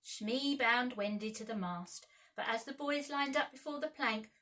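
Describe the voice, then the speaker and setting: A woman narrating a children's storybook aloud with expressive, deliberate dramatic phrasing. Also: fairly high pitch. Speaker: primary school teacher reading aloud.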